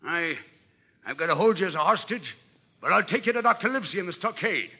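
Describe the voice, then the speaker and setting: A man playing a blustering, cunning pirate captain, gravelly and forceful, barking orders in old seafaring talk on an adventure story record. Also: high voice, sing-song, fast talker, pitched below the performer's own voice, exaggerated voice. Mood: grave, low. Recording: noisy old vinyl record.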